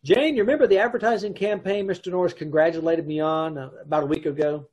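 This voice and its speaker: A man's voice: on the high side, fairly even intonation, fast, a little mellow, slightly rough. A man acting an exasperated, sarcastic husband who also narrates in an old-time radio comedy, recorded over a video call. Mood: wry. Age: middle-aged. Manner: dry, incredulous, blustering. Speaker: amateur radio troupe actor. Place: home room, on a video call.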